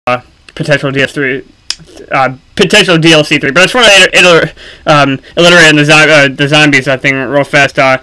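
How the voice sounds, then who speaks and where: A man giving casual, unscripted gaming commentary for a video, speaking haltingly with frequent ums and pauses. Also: fairly high pitch, bright, forceful tone, smooth, poor audio.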